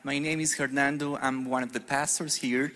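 A middle-aged man from Colombia with a Colombian Spanish accent, preaching a sermon in an earnest, measured way. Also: mid-range voice, flat delivery, pushed and bright. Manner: earnest, measured preaching with deliberate pauses on scripture. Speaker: pastor. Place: church hall. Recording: long hall reverb.

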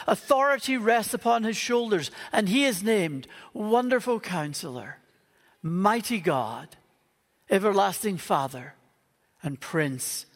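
A man's voice: high voice, vocal fry, long reverb tail. A man with a Northern Irish accent preaching an earnest Christmas Eve sermon as a pastor. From Northern Ireland. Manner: earnest, with playful humorous asides. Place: church auditorium.

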